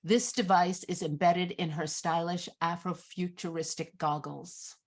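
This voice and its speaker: A woman reading screenplay directions as scripted narration in a measured, descriptive manner. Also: on the low side, steady tone.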